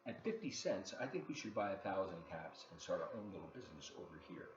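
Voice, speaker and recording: Animated man reading to kids, roomy sound. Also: low voice, quick pace. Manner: expressive, addresses children directly with questions. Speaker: volunteer children's story reader. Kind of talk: read-aloud storytime for kids, with asides. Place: living room at home. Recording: dull, roomy home recording with faint noise.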